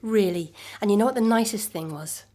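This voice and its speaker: An actress playing a devoted, forgiving young girlfriend in an intimate audio drama, conversational and softly reassuring. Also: mid-range voice, brisk, close to an everyday voice. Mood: nostalgic.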